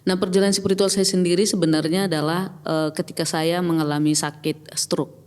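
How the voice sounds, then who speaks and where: A woman's voice: lowish voice, level tone, brisk, a little mellow. Middle-aged woman with a Batak accent. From Batak, North Sumatra. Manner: reflective, hesitant, with many fillers and restarts. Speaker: podcast guest. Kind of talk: personal testimony in casual chat. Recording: long reverb with faint music.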